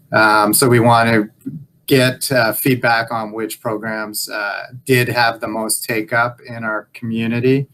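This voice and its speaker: A man giving an informal update on a conference call in a halting, hesitant way. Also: medium pitch, sharp tone, rough recording. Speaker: local employer survey presenter. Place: group video call.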